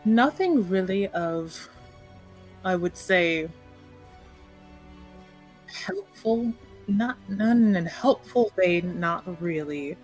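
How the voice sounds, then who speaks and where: A woman's voice: mid-range voice, poor audio. A woman playing a guarded, aggrieved, well-to-do city woman in a tabletop roleplay, with curt, careful phrasing.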